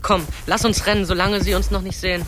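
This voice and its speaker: A man's voice: high-pitched, little pitch movement, quick pace, natural voice. An actor playing a cautious, protective young boy in a radio play, earnest and urgent. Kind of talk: German radio play acting. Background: music.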